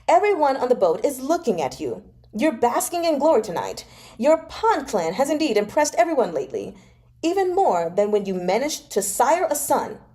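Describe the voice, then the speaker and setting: A woman's voice: high voice, mellow, voice pushed up. A woman reading aloud as a haughty, mocking young nobleman with a cutting, easily offended manner. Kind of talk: dramatic novel read-aloud.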